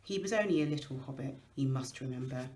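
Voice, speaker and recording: A woman reading a storybook aloud in an expressive narrating style. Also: extremely low pitch, fast talker. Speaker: school staff member reading aloud.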